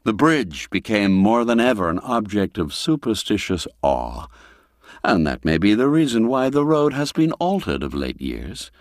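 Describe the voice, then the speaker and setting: A man narrating a classic literary tale in a measured, dramatic storytelling manner, phrase by phrase. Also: on the low side, very animated, dry recording, clean studio sound. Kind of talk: scripted literary narration, audiobook reading.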